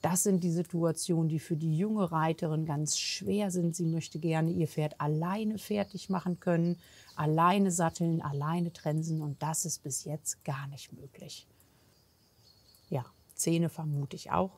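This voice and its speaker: A woman talking casually as a horse trainer, explaining things on the spot outdoors with a slightly husky voice. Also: sits low, fairly even intonation, measured pace, darkish tone. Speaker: horse physiotherapist and trainer, presenter. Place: outdoors at a horse yard and paddock.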